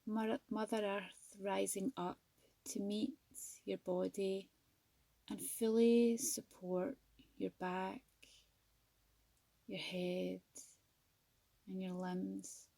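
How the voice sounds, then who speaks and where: Slow, breathy woman guiding meditation. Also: mid-range voice, steady tone, dry recording. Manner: soothing, gentle, measured with long pauses. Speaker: yoga nidra teacher. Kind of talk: guided meditation.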